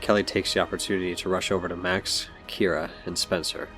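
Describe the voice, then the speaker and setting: A man's voice: low voice, flat delivery, fairly quick, a bit bright. A man reading scripted story narration for an audio drama. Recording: music behind.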